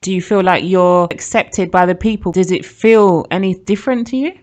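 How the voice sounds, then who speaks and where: A woman's voice: fairly low pitch, moderate pace, a little mellow. A woman hosting a radio show, interviewing conversationally with short interjections and questions.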